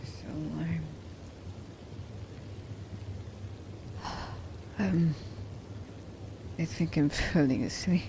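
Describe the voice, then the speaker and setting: A woman playing a reserved assassin who is grudgingly tender, speaking hesitantly and softly in an ASMR romance roleplay. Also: sits low, creaky, background noise, full character voice. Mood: drowsy, tender. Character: reserved female assassin, grudgingly tender toward captive werewolf.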